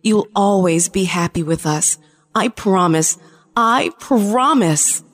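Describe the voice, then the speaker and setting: A woman's voice: mid-range voice, lively intonation, slow. A woman playing a shapeshifting alien spy in an audio drama, a conflicted lover pleading her case earnestly. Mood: relieved, soft. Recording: long reverberant hall sound.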